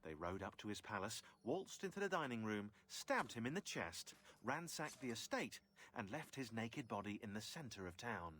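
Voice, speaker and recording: A man's voice: fairly low pitch, very animated, normal speed, a bit bright, a little hiss or hum. A man playing a wry, sardonic historian who narrates villain biographies in a video game. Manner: wry, sardonic, droll storytelling.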